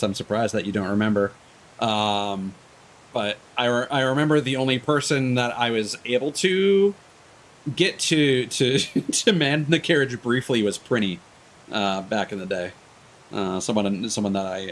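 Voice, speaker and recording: A man with a somewhat deep voice talks off the cuff as a game streamer. His delivery is hesitant and discursive, doubling back on phrases. A light background hiss runs under the recording. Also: steady tone, normal speed. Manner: hesitant, discursive, stop-and-start phrasing, casual swearing. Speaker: video game streamer, host. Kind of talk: casual rambling chat while streaming a game.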